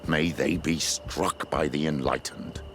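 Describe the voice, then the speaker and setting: An actor playing a grave, solemn companion in a video game, with weighty formal speech. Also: male voice, a bass voice, fairly even intonation, measured pace, fairly bright tone, faint music behind, full character voice. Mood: grim, solemn. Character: grave, solemn male companion cursing wrongdoers. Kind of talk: scripted video game voice acting.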